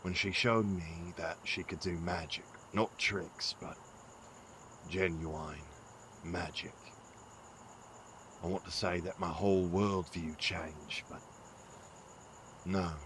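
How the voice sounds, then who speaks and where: A gruff, rueful father, played by a male actor, talks very low and slowly in an audio drama. He is weary and earnest, with clear night-time ambience behind him. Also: steady tone, fairly bright tone, a little hiss or hum. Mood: wistful. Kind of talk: audio drama acting, reflective monologue.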